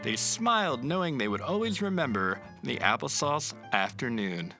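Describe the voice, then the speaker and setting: A man narrating a children's picture book aloud in an expressive, storytelling way. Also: medium pitch, normal speed, vocal fry, faint music behind.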